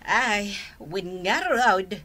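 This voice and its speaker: Slow, flustered old village wife. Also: female voice, medium pitch, pushed and bright. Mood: plaintive, fussy. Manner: hesitant, drawn-out, full of exclamations. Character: elderly village wife, flustered, unlettered, fussy mother. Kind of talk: radio drama acting in rural family comedy.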